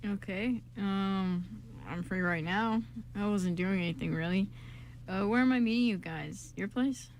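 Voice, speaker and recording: A blunt and wary male friend in an amateur radio drama, played by a woman. The voice is mid-pitched, with slangy, hesitant phrasing. A light layer of noise is on the recording. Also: a little slow, natural voice. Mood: subdued, casual. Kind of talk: amateur radio play acting.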